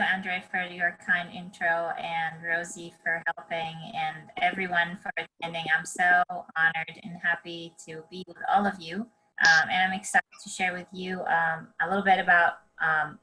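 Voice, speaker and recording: Woman, young adult, from Palestine, slightly low voice, keynote speaker, personal story, earnest, many ums. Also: sharp tone, rough recording. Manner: earnest, with frequent um and restarts. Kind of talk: personal storytelling talk on a webinar.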